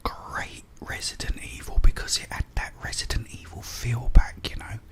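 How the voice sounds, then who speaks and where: Male ASMR host, whispered and breathy, casual meandering delivery, unscripted. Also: moderate pace.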